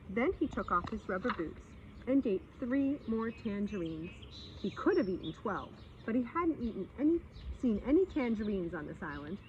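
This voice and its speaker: A woman reading a story aloud expressively for children, pausing to explain and speak to her young listeners. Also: a little high. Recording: slightly dull sound with faint background noise.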